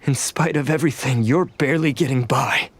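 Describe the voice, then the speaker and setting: A man's voice: mid-range voice, a little fast, breathy edge, lightly acted. A man voicing a guilt-ridden oni outcast in a video game, with an earnest, troubled manner. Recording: music under the voice.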